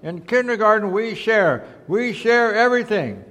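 A man's voice: sits high, lively intonation, moderate pace, a bit bright, pitched above the performer's own voice. A man voicing a scolding kindergarten teacher from a storybook, lecturing in an emphatic, stretched-out way. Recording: reverberant large hall.

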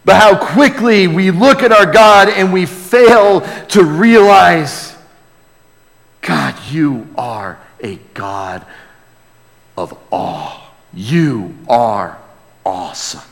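A man preaching and praying to a congregation, earnest in manner, swelling from hushed to emphatic. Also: a little high, animated, extremely slow, vocal fry. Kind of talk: sermon and prayer to a congregation. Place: large church room or hall. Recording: reverberant hall sound.

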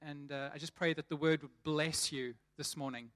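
A man's voice: a little high, steady tone, normal speed, fairly bright tone. A man in his thirties with a South African accent, giving a chatty sermon to his church and often repeating words as he restarts. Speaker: church leader preaching.

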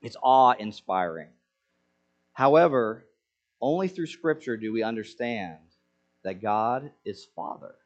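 Man, pastor, sermon, earnest, rhetorical questions, middle pitch, dull lo-fi sound. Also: lively intonation, a little slow, long pauses. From Senegal.

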